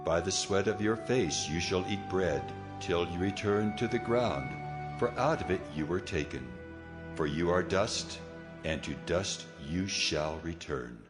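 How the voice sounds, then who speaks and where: An actor playing a stern, commanding God in a dramatized audio Bible, solemn and authoritative. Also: male voice, low-pitched, expressive, measured pace, over music. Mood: solemn judgment. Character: stern, commanding Lord God passing judgment.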